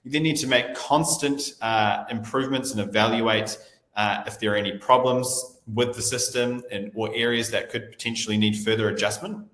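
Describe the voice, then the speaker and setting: A man's voice: lowish voice, steady tone, normal speed, a bit bright. A man hosting a webinar, talking loosely and hedging with repeated "I suppose". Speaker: webinar host. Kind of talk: webinar presentation. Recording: echoey room with long reverb.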